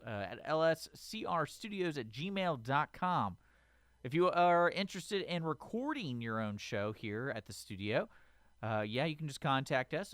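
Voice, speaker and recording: An American man works as a community radio announcer, reading a station notice in an informal way. His voice is smooth and clear, with a middle pitch, recorded close and dry in a studio. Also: a bit bright, no room sound.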